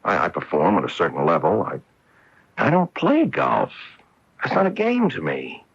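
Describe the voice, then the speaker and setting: An actor playing an earnest, wistful professional golfer in a radio mystery drama, with a husky voice. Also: male voice, low voice, wildly swooping pitch, normal speed, fairly bright tone, full character voice. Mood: dismissive, insistent. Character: wistful, earnest male professional golfer recalling his wife. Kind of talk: radio mystery drama acting, narration and dialogue. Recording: dull lo-fi AM radio broadcast.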